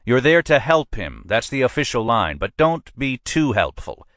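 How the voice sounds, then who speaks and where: A man voicing a brusque, blustering middle-aged police captain in an audiobook, speaking much higher and louder than his own narration. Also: very animated, normal speed, dry recording, studio quality. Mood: commanding. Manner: brusque, pushy, emphatic.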